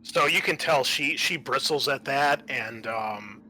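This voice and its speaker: A man narrating a tabletop roleplaying game as game master, improvising in a halting way. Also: mid-range voice, sharp tone, a little hiss or hum, low-quality recording. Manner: halting, searching for words.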